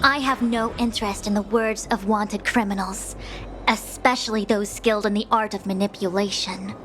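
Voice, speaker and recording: A woman's voice: medium pitch, fairly even intonation, normal speed, a bit bright, soft background music, close to an everyday voice. An actress voicing a stern, proud young female master diviner in a video game, imperious and formal. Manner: imperious, formal, crisp wording.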